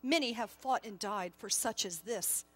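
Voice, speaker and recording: A woman's voice: medium pitch, normal speed, a bit bright, slightly rough, long reverb tail, soft background music. A woman playing a proud, commanding male warrior king in a storybook, emphatic and defensive, stammering when challenged.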